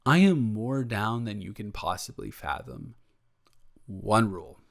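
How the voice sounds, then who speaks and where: A man playing a smitten, playful young roommate in an improvised romantic audio roleplay, talking in a halting, self-correcting, jokey ramble. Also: on the low side, lively intonation, vocal fry. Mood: smitten, flustered.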